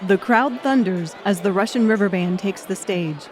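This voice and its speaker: A woman's voice: mid-range voice, normal speed. A woman reading scripted narration of a comic novel as an audiobook narrator. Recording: music and crowd noise behind. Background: music and bar crowd sounds.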